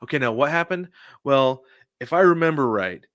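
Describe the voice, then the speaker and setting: A man's voice: medium pitch, expressive, moderate pace, a bit bright, dry recording, clean studio sound. A man co-hosting a sports podcast, telling casual stories and acting out what people said. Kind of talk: casual baseball chat with anecdotes.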